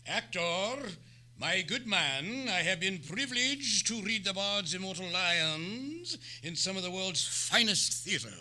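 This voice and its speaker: An actor playing a pompous, grandiloquent down-at-heel Shakespearean thespian, declaiming theatrically in an old-time radio play. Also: male voice, high voice, expressive, measured pace, bright, forceful tone, exaggerated voice. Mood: grandiose. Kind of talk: old-time radio Western comedy acting.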